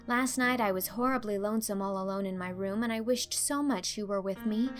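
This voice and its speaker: A woman's voice: mid-range voice, a little fast, soft background music, close to an everyday voice. A woman playing a dramatic, anxious, chatty teenage schoolgirl in a storybook reading, speaking earnestly and wordily. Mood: earnest, breathless.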